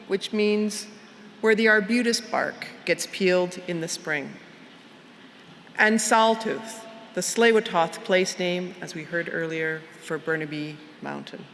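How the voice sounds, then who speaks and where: A woman's voice: mid-range voice, fairly bright tone, a little hiss or hum. Middle-aged woman chancellor, formal. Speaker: university chancellor hosting a convocation. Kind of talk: formal ceremonial address. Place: outdoor university plaza with a large crowd. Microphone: podium microphone. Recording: long outdoor PA reverb, faint ambience.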